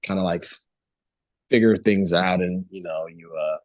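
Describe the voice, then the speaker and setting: A man in his thirties with a very deep, low voice, chatting as an interview guest. He rambles loosely, circling back with fillers and restarts. The sound is dry and close, with little room around it. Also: moderate pace, dry recording. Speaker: voice actor guest. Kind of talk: casual interview chat.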